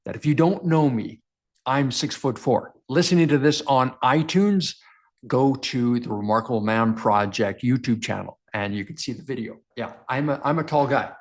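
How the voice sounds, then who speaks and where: A man with a baritone voice, hosting a motivational talk for men and speaking earnestly and directly to the camera while he repeats phrases for emphasis. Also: expressive, moderate pace. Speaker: men's coach and show host.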